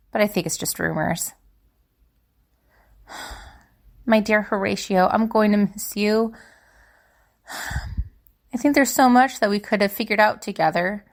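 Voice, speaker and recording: A woman's voice: mid-range voice, lightly acted. A woman playing a young spellcaster student in an audio drama, confiding and hesitant, anxious and wistful. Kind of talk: dramatic monologue in fiction podcast.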